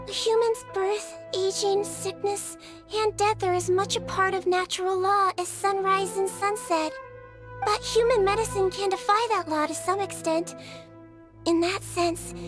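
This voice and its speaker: A woman voicing a small, gentle, girlish nurse creature in a video game, calm, caring, soft-spoken and earnest in measured sentences. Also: extremely high pitch. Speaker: nurse and doctor.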